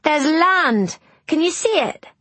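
A woman voicing an excitable, blunt young boy. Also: on the high side, unhurried, breathy edge, no room sound, voice pushed up, exaggerated voice. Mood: excited. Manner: colloquial, blunt, emphatic. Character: excitable freckled working-class boy, bossy and friendly. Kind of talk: audiobook character dialogue.

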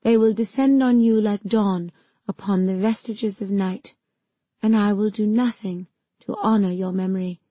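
A woman voicing a cold, vengeful villainess in an audiobook, speaking with calm, soothing, taunting menace. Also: mid-range voice, normal speed, no echo, lightly acted.

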